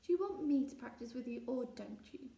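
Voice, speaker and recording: A woman's voice: high-pitched, animated, a little fast, creaky, dark tone, slight background noise. A woman reading a children's storybook, voicing a mischievous young boy with a taunting, bossy, mock-authoritative manner. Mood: bossy, scheming. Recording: roomy echo, distant mic.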